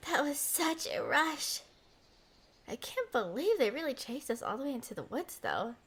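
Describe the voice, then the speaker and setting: High, lilting young princess, acted by a woman. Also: big pitch swings, normal speed, fairly bright tone, heavily acted. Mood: relieved, fond. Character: playful, headstrong young princess, lovestruck and sheltered. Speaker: voice actor. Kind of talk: roleplay audio acting, ASMR-style.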